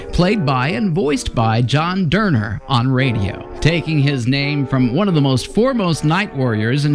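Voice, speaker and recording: Animated male host over music. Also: fairly high pitch. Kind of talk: scripted narration.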